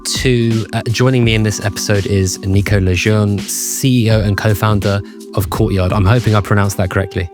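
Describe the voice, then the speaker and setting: A man's voice: lowish voice, fairly even intonation, normal speed, over music. A man hosting a podcast, asking friendly, light questions and giving brief reactions. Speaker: podcast host. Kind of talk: podcast interview.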